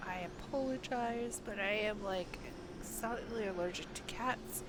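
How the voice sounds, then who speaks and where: A woman's voice: medium pitch, unhurried. A woman streaming a game, chatting casually and muttering informal asides to herself as she plays. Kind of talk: casual livestream chat while playing. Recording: faint background noise.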